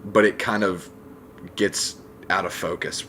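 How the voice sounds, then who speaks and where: A man's voice: deep voice, flat delivery, measured pace, bright, forceful tone. A man co-hosting a podcast, chatting casually and emphatically like an informal fan. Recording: faint background room noise.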